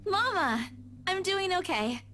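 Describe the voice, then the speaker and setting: Anxious young game heroine, high voice, swooping intonation, expressive, music underneath. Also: female voice, slow pace, heavily acted. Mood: cheerful. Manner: animated, expressive. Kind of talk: visual novel game voice acting.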